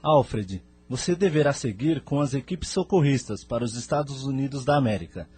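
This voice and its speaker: A man with a Brazilian accent playing a calm, authoritative leader of a spirit colony in an audio drama. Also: mid-range voice, moderate pace, slightly rough. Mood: grave, composed. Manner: formal, composed.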